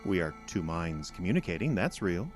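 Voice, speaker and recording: An actor in an audio drama playing a condescending alien invader in human guise, speaking in a measured, lecturing manner. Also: male voice, low voice, very animated, faint music behind. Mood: coolly menacing.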